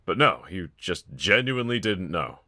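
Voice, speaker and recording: A very low-pitched, sardonic villain, acted out by a man in an intimate audio roleplay. He drawls casually and teasingly, with a bright, edgy tone and a quiet vulnerability underneath. The sound is close and dry. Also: big pitch swings, normal speed, no echo, heavily acted. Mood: incredulous, sardonic. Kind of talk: fan audio roleplay, intimate one-sided dialogue.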